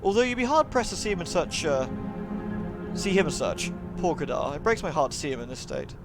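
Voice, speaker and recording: A man voicing a refined, courteous vampire woman in a visual novel, measured and eloquent. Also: fairly high pitch, sing-song, music in the background. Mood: wistful.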